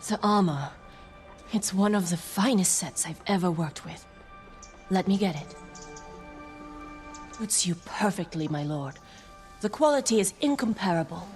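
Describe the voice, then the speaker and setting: A woman's voice: mid-range voice, expressive, a little slow, long pauses, darkish tone, faint music behind. A woman playing a proud, deferential armorer in a video game, speaking politely and with assurance. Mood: proud, obliging. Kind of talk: cinematic video game acting.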